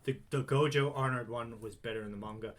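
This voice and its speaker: Excitable, profane man on a podcast. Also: medium pitch, quick pace. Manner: excitable, profane, animated swings in loudness. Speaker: podcast co-host. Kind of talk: casual chat.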